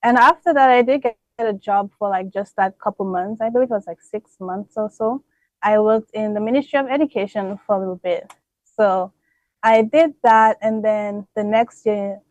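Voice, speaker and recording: A woman's voice: medium pitch, no room sound, lo-fi sound. A woman, reflecting in a casual radio interview and laughing often. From Dominica. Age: 20s. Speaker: interview guest.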